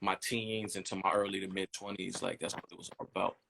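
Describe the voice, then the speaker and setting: A man in his thirties, a brand designer, chatting in a relaxed interview and rambling easily with lots of "you know what I mean". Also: sits low, quick pace, vocal fry, poor audio. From United States. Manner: easygoing, rambling, leans on "you know what I'm saying".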